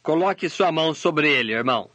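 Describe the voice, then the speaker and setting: A man voicing a sermon translation, delivering it steadily and measured. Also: a little high, expressive, background noise. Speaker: sermon interpreter voice-over. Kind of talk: translated sermon read aloud.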